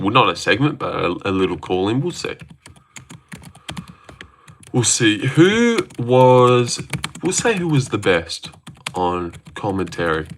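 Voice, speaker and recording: Easygoing Australian man, low-ish voice. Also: fairly bright tone, slight background noise. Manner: rambling and joking, with frequent fillers and restarts. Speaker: livestream host. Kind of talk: casual livestream chat, reading out viewer comments.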